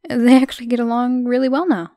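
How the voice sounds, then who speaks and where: A young woman playing a shy, friendly, modest minor goddess in an audio roleplay, chatting hesitantly with soft asides. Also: a little high, level tone, quick pace, no echo, clean studio sound, natural voice. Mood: gossipy, careful.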